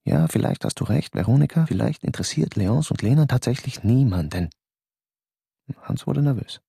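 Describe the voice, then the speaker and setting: A man's voice: medium pitch, expressive, normal speed, creaky, dark tone, slightly rough, no room sound, clean studio sound, heavily acted. A man voicing a reflective male theater actor who narrates his story in the first person. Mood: calm.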